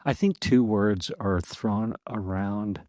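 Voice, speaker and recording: An outgoing man with a fairly low, powerful voice that projects, chatting as a podcast guest. He is animated and laughs often, and the sound is close and dry with no room echo. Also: takes it slowly. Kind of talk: casual interview conversation.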